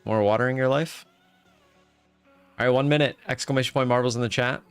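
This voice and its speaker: A man's voice: mid-range voice, steady tone, brisk, clean tone, no room sound, faint music behind. A man in his late thirties chatting casually on a live stream, rambling with many fillers and reading out viewer comments. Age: 30s. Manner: rambling, with frequent "uh" fillers and restarts.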